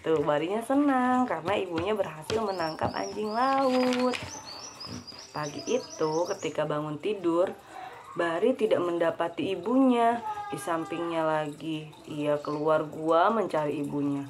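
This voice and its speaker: A woman's voice: on the low side, unhurried, noisy background. Woman reading a storybook aloud casually. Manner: adds chatty asides to the child. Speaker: parent reading to a child.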